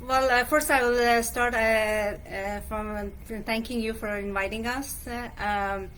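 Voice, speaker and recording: Soft-spoken woman guest, faint room hum. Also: a little high, steady tone, a little slow. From Canada. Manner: hesitant, soft, with fillers and small laughs. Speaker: guest, real estate agent. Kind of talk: casual interview answers. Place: hotel room.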